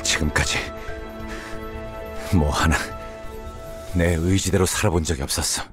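A man playing a haunted, weary soldier who speaks in a halting, subdued way. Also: low-pitched, fairly even intonation. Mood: resigned. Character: haunted, resigned male soldier, weary of a futile life. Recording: loud music under voice.

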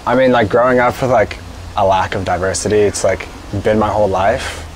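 A teenage boy with a fairly low voice, speaking hesitantly and leaning on filler words. He is recorded outdoors on a porch with faint ambience in the background. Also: a little fast, a little hiss or hum, rough recording. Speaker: interviewee.

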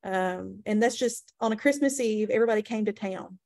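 A woman's voice: medium pitch, fairly quick, creaky, darkish tone, no echo, clean studio sound. A woman talking informally about local history on a video call. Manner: chatty, informal storytelling. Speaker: tourism director. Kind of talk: webinar presentation.

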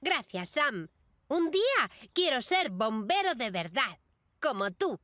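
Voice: high-pitched, very animated, slow pace, no echo, clean studio sound, full character voice. An actor playing an eager, boastful little boy who wants to be a firefighter, in a children's cartoon dub. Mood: proud, eager. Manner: boastful, keen. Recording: loud music behind the voice.

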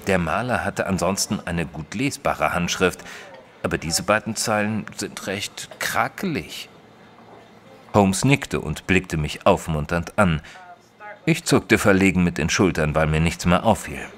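A man playing a thoughtful detective's companion who narrates a mystery audio drama in a measured, literary way. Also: low-pitched. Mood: pensive. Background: music under the voice.

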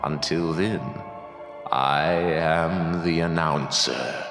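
A man's voice: very deep voice, very slow, creaky, a bit bright. A man reading scripted horror radio credits as a dramatic, ominous announcer. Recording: echoey hall-like reverb. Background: music.

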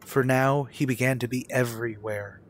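A man's voice: medium pitch, fairly even intonation, normal speed, slight background noise, natural voice. Haunted man acted in a suspense radio play. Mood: haunted, dread. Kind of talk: old-time radio suspense drama acting, first-person narration.

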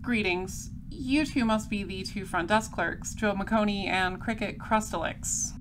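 A woman playing a polite, persistent space patrol detective in an audio drama, questioning in a formal, measured way. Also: mid-range voice, background noise, lightly acted. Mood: composed, businesslike. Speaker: lead detective and narrator.

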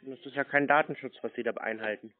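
A man on a phone call, baiting the caller in a terse, deadpan, dryly provocative manner. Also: mid-range voice, quick pace. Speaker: prank host answering scam calls. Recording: narrow, tinny phone line.

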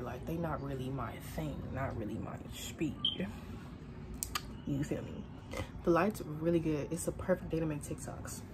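A young woman talks to her phone at arm's length in her bedroom, chatty and laid-back, drifting from topic to topic. Her voice sits on the low side. A steady hiss of noise runs under the phone recording. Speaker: vlogger. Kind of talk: casual vlog chat to camera. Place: bedroom at home, indoors. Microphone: phone camera at arm's length.

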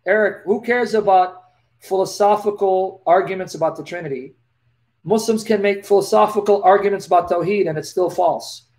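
High-voiced man with a lisp. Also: normal speed, low-quality recording. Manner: animated, preacherly, gestures while talking. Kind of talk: live Q&A, reading Bible verses aloud. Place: small dim indoor room. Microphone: laptop webcam, close.

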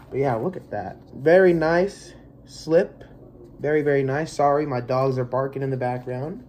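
A man's voice: fairly high pitch, darkish tone, a little hiss or hum. A young man in his twenties, stuffy from allergies, rambling casually through a movie unboxing in a small bedroom, recorded on a close webcam mic. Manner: rambling, filler-heavy, easygoing. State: congested from allergies. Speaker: movie collector vlogger.

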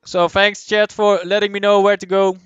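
A young man with a Dutch accent streams a game and chats with his viewers. His voice sits a little high and sounds smooth and clear. The close, dry mic keeps his casual, think-aloud commentary right up front. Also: flat delivery, moderate pace, dry recording, dull audio, soft background music. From Netherlands. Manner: casual, chatty, answers chat aloud, thinks out loud. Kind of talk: live gameplay commentary, reading chat.

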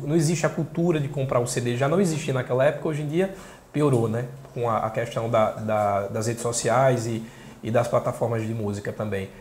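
A man in his thirties with a Pernambuco accent from northeastern Brazil, talking casually in a soft voice as an interview guest. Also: mid-range voice, a little hiss or hum. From Caruaru, northeastern Brazil. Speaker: interview guest, singer-songwriter and journalist. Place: small indoor room. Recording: echoey small room.

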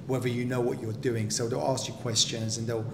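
A man, a property developer, explaining construction inspections in a practical, measured way as his wife interviews him. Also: creaky. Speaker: property developer and general contractor. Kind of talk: explaining in a relaxed home interview. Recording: echoey room with steady rumble.

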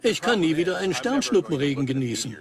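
A man's voice: a little high, big pitch swings, fast, a crowd in the background. A man in a dubbed documentary conversation, one of the presenting team. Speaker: documentary team member asking questions.

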